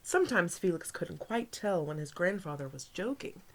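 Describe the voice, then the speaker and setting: A woman reading a picture book aloud as a teacher to young children, pausing for asides to her listeners. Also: fairly low pitch, lively intonation, a little hiss or hum.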